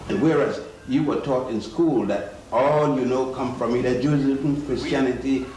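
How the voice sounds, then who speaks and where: Older male lecturer, slow and halting, recorded far off in an echoey, noisy hall. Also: medium pitch, darkish tone. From Ethiopia. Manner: slow, halting, with many pauses and fillers. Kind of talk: public lecture.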